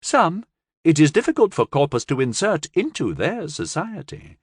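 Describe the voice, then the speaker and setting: A childlike young alien creature with a high, lifted voice that speaks in careful, broken formal phrases, as a male narrator puts her on. The delivery is slow and earnest, and the sound is dry and close. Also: big pitch swings, breathy edge, no echo, exaggerated voice.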